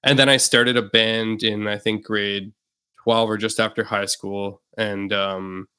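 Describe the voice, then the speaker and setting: A man's voice: fairly low pitch, unhurried, clear, resonant tone. A young man, a musician guest on a podcast, chatting casually and loosely with lots of fillers. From Canada. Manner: relaxed, rambling with many fillers. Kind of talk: casual interview chat.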